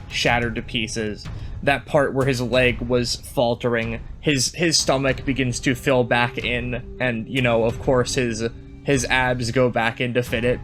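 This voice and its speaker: A man of medium pitch narrates slowly as a player in a tabletop game. He builds his descriptions in halting phrases, with faint music behind him. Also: a bit bright.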